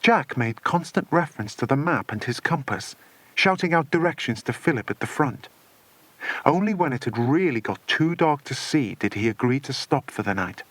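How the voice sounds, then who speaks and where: Male storyteller, mid-pitched, very animated delivery, dramatic children's adventure narration. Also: normal speed, dry recording, rough recording. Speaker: audiobook narrator.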